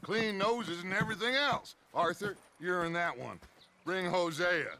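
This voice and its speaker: An actor playing a commanding, theatrical outlaw leader in a video game cutscene, with a grandiose, measured orator's delivery. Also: male voice, sits high, background noise. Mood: upbeat, wry. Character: commanding male outlaw gang leader, theatrical, menacing, persuasive.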